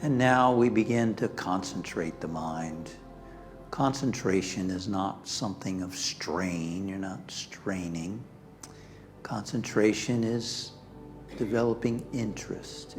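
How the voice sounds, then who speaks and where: Man, meditation guide, lowish voice, very slow pace, calm and soothing, soft with trailing phrase ends, music behind. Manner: calm, soothing, pausing between short phrases. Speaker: minister leading a guided meditation. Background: background music.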